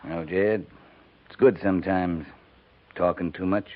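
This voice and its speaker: An actor playing a steady, gruffly kind, blunt cavalry captain with an American accent and a terse, measured delivery in a 1950s radio western. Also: male voice, deep voice. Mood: kindly. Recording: narrow, tinny 1950s radio recording.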